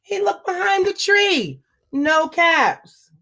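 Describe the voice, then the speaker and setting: A woman reading a picture book aloud to children, slow and expressive, pausing to ask them questions. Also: very high voice. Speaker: children's story reader. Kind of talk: read-aloud storytelling for children.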